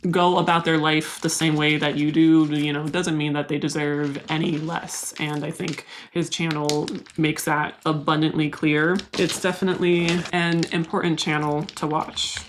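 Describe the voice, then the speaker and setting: A young woman with a low, soft voice talks to her viewers as she pots plants. Her tone is candid and confiding, unhurried and personal, like a vlog voice-over. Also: flat delivery, noisy background. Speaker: plant vlogger. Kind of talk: casual voice-over while repotting plants.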